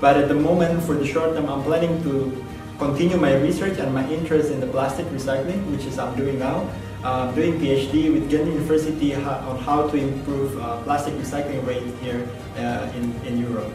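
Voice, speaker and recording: A man's voice: mid-range voice, fairly even intonation, fairly quick. A young adult man from Indonesia with an Indonesian accent, a graduate student giving a prepared testimonial to camera. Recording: echoey room, distant mic, music behind.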